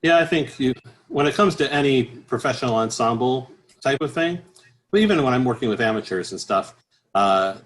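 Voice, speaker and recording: A man speaking as an interview guest, explaining things conversationally as a choral professional, with halting, repeated phrases. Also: mid-range voice. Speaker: interview guest, professional bass singer and choir director.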